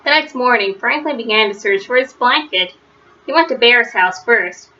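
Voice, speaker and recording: Female storyteller for children's picture book: expressive, bright, high voice, slightly dull home recording. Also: normal speed. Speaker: read-aloud storyteller.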